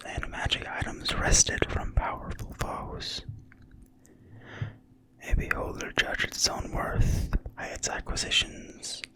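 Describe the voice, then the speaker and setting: A narrator reading from a book entirely in a quiet, breathy whisper, slowly and deliberately, in ASMR style. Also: rough recording. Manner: slow, deliberate, hushed reading with pauses. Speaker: narrator reading from a fantasy game manual.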